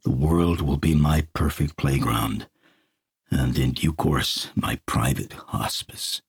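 A man's voice: extremely low pitch, slow pace, vocal fry, breathy edge, no echo, clean studio sound, full character voice. Man doing a growling, bitter old tycoon. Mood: grumbling. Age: older. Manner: growling, grumbling. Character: old deposed male tycoon, bitter and grumbling.

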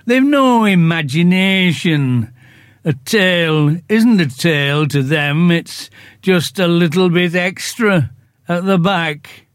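A man voicing a gloomy old male donkey who speaks slowly and mournfully, full of self-pity. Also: sits high, animated, dry recording, clean studio sound. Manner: slow, drawn-out, self-pitying.

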